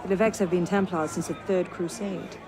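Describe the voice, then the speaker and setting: Game ally, male actor: conversational, knowing, wry, raised pitch over a score. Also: steady tone, fairly quick, music in the background, crowd noise, close to an everyday voice. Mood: wry, informative. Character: wry, well-informed male informant ally.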